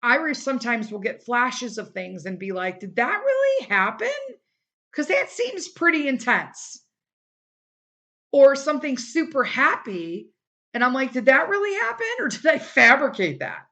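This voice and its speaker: A woman's voice: high voice, very animated, a little slow, sharp tone. A middle-aged woman hosting her own podcast in a casual solo chat, rambling and candid, her voice tired and wearing out after a long day of recording. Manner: rambling and candid, lots of "like" and "you know". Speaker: podcast host.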